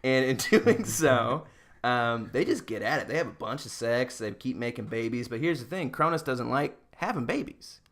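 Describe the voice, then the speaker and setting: A man's voice: mid-range voice, lively intonation, normal speed, fairly bright tone. Guy podcast host telling old myths super casual and jokey, voice jumps up and down a lot. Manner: joking, irreverent, slangy. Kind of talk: casual comedic retelling of Greek myths.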